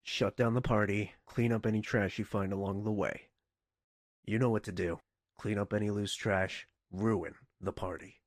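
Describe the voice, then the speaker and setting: A man playing a wry, bossy headquarters dispatcher in a video game, giving deadpan official orders with goofy, sarcastic asides. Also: lowish voice, moderate pace, dry recording, studio quality, natural voice. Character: wry, bossy, easily exasperated male headquarters dispatcher sending prisoners on missions. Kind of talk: video game mission briefing voice lines.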